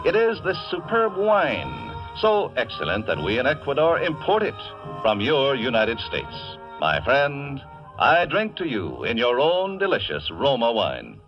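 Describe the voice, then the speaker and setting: A man voicing a proud, cultured Ecuadorian gentleman host, courtly and flowery, in a 1940s radio advertisement. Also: medium pitch, wildly swooping pitch, unhurried, soft background music. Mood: gracious, proud. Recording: muffled vintage radio broadcast.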